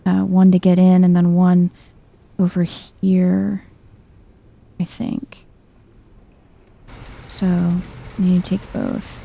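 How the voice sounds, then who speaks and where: A woman speaks softly and slowly in a mellow, relaxed voice as she comments on a video game. Her delivery is chill and low-key, close to ASMR, murmured as if to herself. There is noticeable vocal fry in her voice. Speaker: gaming video host. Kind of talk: soft-spoken ASMR gameplay commentary.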